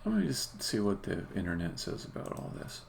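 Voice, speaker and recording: A man who is a game developer, talking casually and haltingly as he thinks aloud during a live coding stream. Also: sits low, huge pitch swings, creaky, slight background noise.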